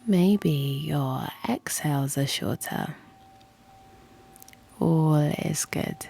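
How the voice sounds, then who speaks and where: A woman leads a guided meditation at an extremely slow pace, in a low, soft and breathy voice that often nears a whisper. There is noticeable creak in her tone, and her manner is soothing and gently encouraging. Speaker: yoga nidra guide and channel host. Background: soft music.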